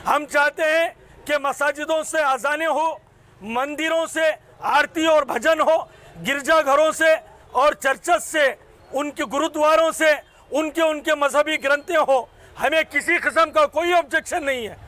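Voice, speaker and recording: A man's voice: extremely high pitch, unhurried, pushed and bright. A man delivers an impassioned, emphatic public statement in short declamatory phrases, as a community spokesman.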